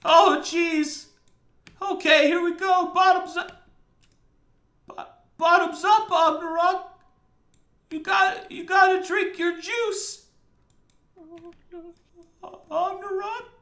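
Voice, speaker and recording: Squeaky, panicky dwarf man , played by a man, high-pitched and bright. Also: steady tone, slow pace, pauses often, reverberant, exaggerated voice. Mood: flustered. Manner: frantic, apologetic, stammering.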